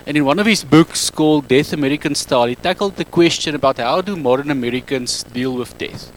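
A man in his thirties speaking English with an Afrikaans accent, giving a public talk on a handheld mic in a halting, self-correcting way. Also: on the high side, a little hiss or hum, low-quality recording. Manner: halting, self-correcting non-native English with frequent ums. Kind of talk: public talk to a live audience.

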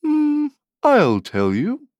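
A woman voicing a gentle young storybook fawn with a soft, sweet voice. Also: fairly high pitch, huge pitch swings, very slow, dry recording, lo-fi sound, pitched above the performer's own voice, exaggerated voice.

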